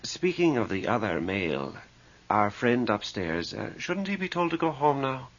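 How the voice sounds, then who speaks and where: An actor playing an anxious, principled priest in a radio drama, speaking formally and with stern insistence. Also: male voice, medium pitch, sing-song, moderate pace. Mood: worried, insistent. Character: anxious, principled priest confronting a stubborn woman. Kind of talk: 1980s radio drama acting. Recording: slightly dull 1980s radio recording.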